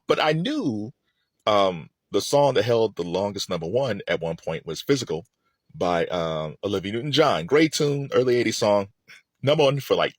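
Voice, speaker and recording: A man's voice: deep voice, no echo. A middle-aged man giving casual, opinionated music commentary in a conversational way, repeating phrases for emphasis.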